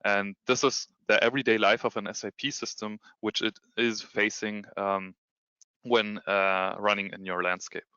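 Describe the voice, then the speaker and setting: A man's voice: on the low side, level tone, normal speed, pushed and bright, clear, resonant tone, no room sound, dull audio. A man with a German accent giving a webinar presentation with screen share, hesitant, with many ums and restarts. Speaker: security consultant presenting a demo.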